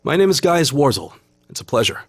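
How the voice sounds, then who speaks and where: A man voicing a calm, courteous young foreigner in a video game. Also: on the high side, fairly quick, creaky, no room sound, faint music behind, heavily acted.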